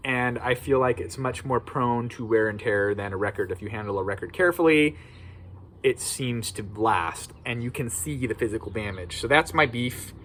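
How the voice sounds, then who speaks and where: An American man hosts a casual video chat in a mid-pitched voice. He is plain-spoken and opinionated, giving his take informally. There is clear ambient noise behind him. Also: normal speed, fairly bright tone. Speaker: video host. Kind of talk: casual informal video talk about collecting. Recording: clearly noisy background ambience.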